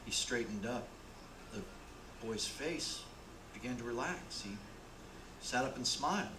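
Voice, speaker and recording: A man's voice: mid-range voice, lively intonation, unhurried. A man narrating a radio play in a dramatic storytelling style. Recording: roomy with clear background noise.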